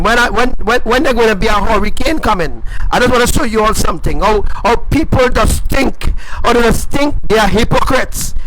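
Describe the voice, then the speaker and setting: A man preaching on a live stream. He talks emphatically and repeats himself, swinging between loud declarations and low asides. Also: a little hiss or hum, poor audio. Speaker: self-styled prophet.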